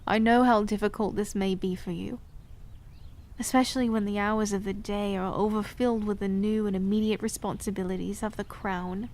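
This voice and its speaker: A woman's voice: medium pitch, flat delivery, a little mellow, close to an everyday voice. Poised, formal queen, played by a woman. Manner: measured, formal and gracious, coolly reserved. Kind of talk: audio drama acting. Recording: music bed under the voice.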